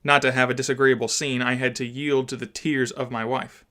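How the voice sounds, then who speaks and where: Man reading as a stern, indignant father, mid-pitched. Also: flat delivery, brisk, sharp tone, close to an everyday voice. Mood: grave, indignant. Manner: oratorical, emphatic, solemn. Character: indignant father, stern gentleman addressing an assembly. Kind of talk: dramatised audiobook reading.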